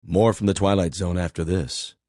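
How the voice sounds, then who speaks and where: A man's voice: low voice, fairly even intonation, normal speed, a little mellow, faint music behind. An American man reading scripted credits and notices as a broadcast announcer for a radio drama.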